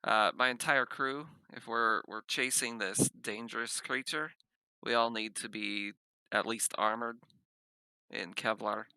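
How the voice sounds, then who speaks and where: A man playing a cautious, dryly skeptical spaceship captain in a tabletop roleplay, speaking in halting, clipped phrases. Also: fairly low pitch, steady tone, bright, forceful tone, clear, resonant tone, no echo, exaggerated voice, poor audio. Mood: firm, practical.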